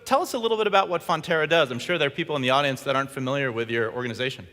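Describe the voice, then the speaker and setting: A man's voice: mid-range voice, very fast, pushed and bright. A man presenting a tech keynote on stage and interviewing a guest, upbeat and jokey, often restarting his phrases. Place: large conference hall stage. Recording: long hall reverb.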